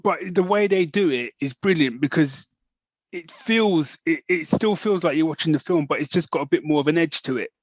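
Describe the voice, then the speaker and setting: A man's voice: a little high, moderate pace, no room sound. A man co-hosting a podcast in casual chat, enthusiastic as he recommends comics and reads blurbs aloud. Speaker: podcast co-host.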